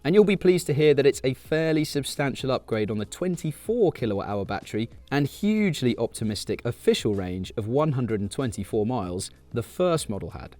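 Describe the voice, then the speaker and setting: A man reads a car review voice-over in a measured, informative way. His pitch rises and falls with plenty of life, and soft music plays quietly behind him. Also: sing-song, no room sound.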